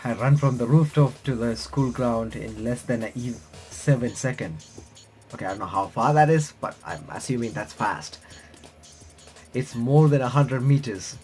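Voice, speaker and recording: Halting male visual novel reader over music. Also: medium pitch, darkish tone. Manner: halting, stumbles over words and restarts. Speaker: playthrough host reading and reacting to a visual novel. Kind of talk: reading game text aloud with casual asides.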